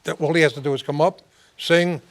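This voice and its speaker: Talk show guy, bit high-pitched, repeats stuff and laughs a lot. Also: fairly even intonation, a little fast. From New York. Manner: laughs often, repeats himself. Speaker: host. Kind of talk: casual interview chat.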